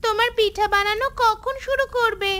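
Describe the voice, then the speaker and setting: A woman voicing an excitable little grandchild in a cartoon, eager and sweetly pestering. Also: very high voice, moderate pace. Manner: eager, pleading, repeats phrases. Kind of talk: Bengali cartoon voice acting. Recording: music behind the voice.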